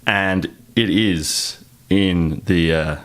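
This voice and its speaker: A man's voice: sits low, drawn-out words. A man hosting a casual comedy podcast, improvising hesitantly and swearing as he goes. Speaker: podcast host. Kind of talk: casual comedic group chat.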